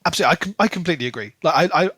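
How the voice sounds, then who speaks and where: A man with a bright, slightly high voice chats casually as a podcast co-host about books. He is emphatic and often doubles up words while building a point. The recording is dry, with hardly any room sound. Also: animated, rapid-fire, slightly rough, no room sound. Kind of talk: casual book discussion.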